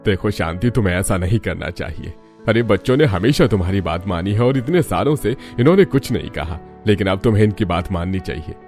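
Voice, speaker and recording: Guy as middle aged dad, low voice, reasoning n advising, cartoon story, soft music. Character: reasonable middle-aged husband and father, persuading. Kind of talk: Hindi cartoon family story.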